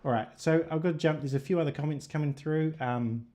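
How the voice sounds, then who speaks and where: A man with a New Zealand accent hosting a casual livestream chat, relaxed and thinking aloud with plenty of fillers. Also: fairly high pitch, brisk. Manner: relaxed, thinking aloud with many fillers, reading viewer comments. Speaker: livestream host.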